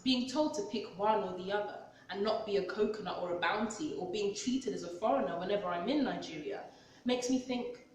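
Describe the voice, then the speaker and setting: A woman's voice: medium pitch, slight background noise. A woman in her twenties from London with a London accent, expressively reading her own personal essay aloud. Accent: London English. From London, England. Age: 20s. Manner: expressive reading with acted-out dialogue. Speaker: author and vlogger. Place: large echoey cultural hall. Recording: very echoey hall, distant mic.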